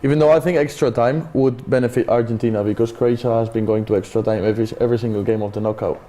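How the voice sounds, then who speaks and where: A man on a football panel, explaining his views in a measured, hedging way. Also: medium pitch, fairly even intonation, dark tone. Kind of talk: casual football chat.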